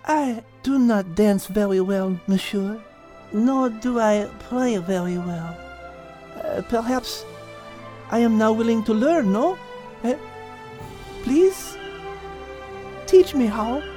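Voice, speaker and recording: A man voicing a gracious, clever female French cat in a soft falsetto with a French accent and polite, measured phrasing. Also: faint music behind. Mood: humble, wondering.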